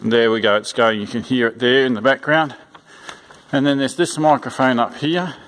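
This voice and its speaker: A man giving an informal, unscripted instructional walkthrough in a plain-spoken, halting way, repeating his instructions. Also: mid-range voice, fairly even intonation, fairly bright tone. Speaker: church sound system instructor. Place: indoors in a church.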